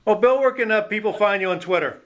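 High-voiced man hosting a sports podcast. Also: fast talker, fairly bright tone. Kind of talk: podcast interview.

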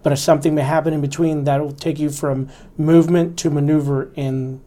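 Steady, even-toned army officer. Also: male voice, fairly high pitch, brisk. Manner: earnest, instructive, stresses fundamentals. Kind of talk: reflective interview talk.

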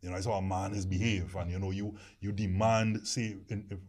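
A deep-voiced man in casual discussion, rambling with frequent restarts. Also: little pitch movement, fairly quick, a little mellow. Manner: rambling, hesitant with many restarts. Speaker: discussion panelist.

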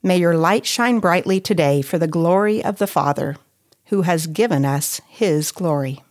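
A woman reading a scripted devotional in a measured, deliberate way with pauses between phrases. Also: fairly low pitch, darkish tone. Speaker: devotional writer and reader.

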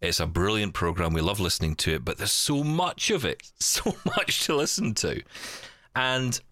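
A man's voice: lowish voice, lively intonation, normal speed, bright, forceful tone, no echo, studio quality. A Scottish man hosting a podcast in a casual, chatty style, joking and poking fun at himself. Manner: jokey, self-deprecating. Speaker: podcast host. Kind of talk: casual banter chat.

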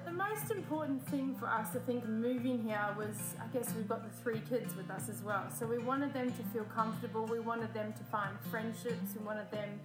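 A woman's voice: fairly high pitch, normal speed, hardly any pauses. A woman, a mother of three, chatting conversationally about settling into a new community. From Australia. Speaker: church member, mother. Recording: echoey room with guitar music.

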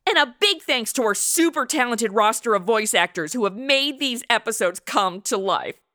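Very high, bright, perky woman. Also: lively intonation, moderate pace. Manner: perky, modern slangy delivery. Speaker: show host and lead actress. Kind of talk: playful radio comedy performance.

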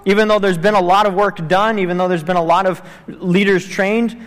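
A fairly high-pitched man in his thirties who grew up in Tanzania gives a missions talk at church. He speaks earnestly, with plenty of ums and uhs, and his voice rings with the long reverb of a church hall. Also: steady tone, a little fast. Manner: earnest, with many fillers. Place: church sanctuary.

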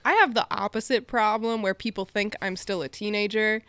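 A woman's voice: mid-range voice, fairly even intonation, a little fast, a bit bright, clean tone, no echo. A woman in her twenties co-hosting a podcast, telling stories in a casual chat full of "like". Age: 20s. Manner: chatty, storytelling with lots of "like". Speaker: podcast co-host.

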